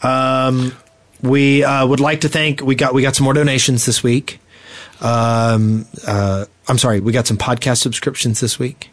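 A man's voice: medium pitch, level tone, unhurried, slight background noise. A man co-hosting a podcast, talking in casual chat. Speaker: podcast co-host.

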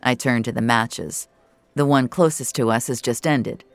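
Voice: medium pitch, normal speed, no echo, faint music behind. A narrator reading first-person audio fiction in a wry, colloquial way.

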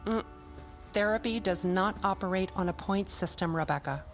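A woman playing a measured, firm therapist in an audio drama. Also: mid-range voice, over music, close to an everyday voice. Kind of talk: audio fiction acting.

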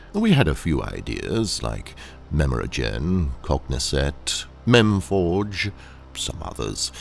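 An actor playing a ruthless corporate trainer in an audio drama, speaking with measured, polite and condescending menace. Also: male voice, rumbling low, creaky, a little hiss or hum, exaggerated voice. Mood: coolly sinister.

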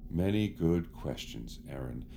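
An actor playing a smarmy, theatrical celebrity who poses as a savior, speaking in a pompous, evasive, grandiose way in an audio drama. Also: male voice, rumbling low, animated, unhurried, mellow, slight background noise, full character voice. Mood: grandiose, reassuring.

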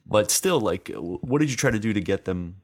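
Low-voiced man with flat, even delivery. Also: brisk, husky. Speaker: podcast host. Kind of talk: casual interview chat.

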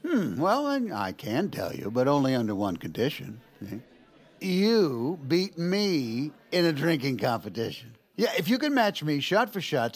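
A tipsy, goofy politician in a comedic audio drama. His voice sits high and leaps around in pitch. He rambles and stammers, folksy and crude. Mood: playful, bumbling. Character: boozy, goofy, folksy male vice president.

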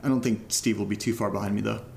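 Creaky-voiced young man keeping audio diary. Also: on the low side, fairly even intonation, fast, darkish tone, slight background noise. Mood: cheerful, casual. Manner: informal, rambling, sweary, self-deprecating. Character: casual, upbeat young man documenting a creepy new house. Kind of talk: found-footage horror audio drama, casual audio diary.

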